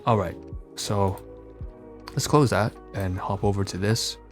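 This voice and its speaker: A man's voice: fairly low pitch, a little slow, faint music behind. A man hosting a casual, unscripted screen-share walkthrough, rambling along and often correcting himself. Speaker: podcast host demonstrating his websites.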